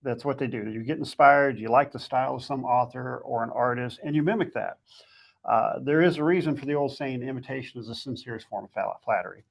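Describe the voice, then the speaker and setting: A man with a gravelly, husky voice giving conversational advice as a guest author, in a plain-spoken way with short, emphatic summings-up. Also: mid-range voice, brisk.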